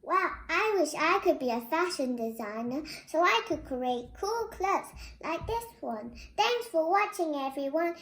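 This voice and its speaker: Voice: sits high, lively intonation, a little slow, few pauses, a bit bright, a little hiss or hum. A child host reading a picture book aloud, addressing viewers directly in a presenterly way. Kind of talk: read-aloud storybook narration with brief asides. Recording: roomy, echoing indoor sound.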